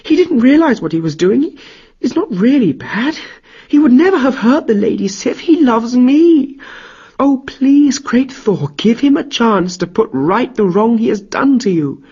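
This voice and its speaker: A man's voice: very high-pitched, very animated, measured pace, darkish tone, slightly rough, dull audio, pitched above the performer's own voice, heavily acted. A man voicing a devoted goddess wife who begs for mercy. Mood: pleading. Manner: pleading, begging.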